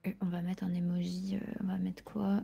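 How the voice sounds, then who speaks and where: A woman's voice: on the low side, monotone, normal speed, vocal fry, mellow, slightly rough. A woman chatting casually in an improvised online roleplay, thinking aloud as she welcomes a new employee.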